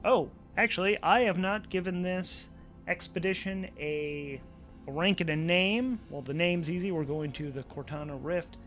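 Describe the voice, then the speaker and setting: A man narrating a solo tabletop role-playing game as game master, improvising haltingly and often repeating words. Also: high voice, fairly bright tone, soft background music.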